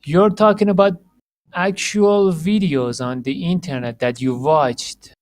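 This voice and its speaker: Halting male podcast host, dry sound. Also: on the high side, steady tone, a little mellow, no echo. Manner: halting, many restarts and fillers, laughs often. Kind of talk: casual interview chat.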